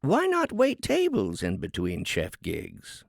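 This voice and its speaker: A man's voice: low voice, wildly swooping pitch, slow, creaky, no echo, studio quality, heavily acted. A man voicing a haughty, scheming female harpy in a storybook fable, preaching with pompous, condescending, wheedling oratory. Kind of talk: dramatic storybook fable reading.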